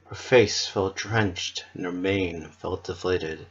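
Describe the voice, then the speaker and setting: A narrator giving an expressive, measured dramatic reading of a scripted story. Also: low voice, slightly rough, lo-fi sound.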